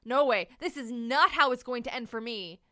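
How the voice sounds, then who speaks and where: A woman acts out a little marshmallow lad from a storybook, anxious and easily scared. The voice is high and bright, hesitant and pleading. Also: expressive, normal speed, no echo, studio quality. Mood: determined panic. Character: small, timid, anxious young male marshmallow.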